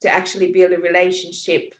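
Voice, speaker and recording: A woman from Hungary in her forties, speaking English with a Hungarian accent, reflecting thoughtfully with pauses and fillers as a podcast guest. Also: fairly low pitch, monotone. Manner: thoughtful, hesitant, many fillers and restarts. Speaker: podcast guest, yoga teacher. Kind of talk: reflective interview talk. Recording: echoey, muffled remote audio.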